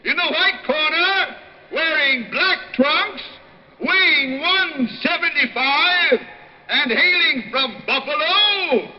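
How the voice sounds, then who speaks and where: Boxing ring announcer, slow drawn-out shouts at a very high pitch, muffled vintage radio sound. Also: male voice, a little hiss or hum. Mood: grand, shouting. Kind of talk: 1940s-50s radio drama announcing. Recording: muffled old radio recording.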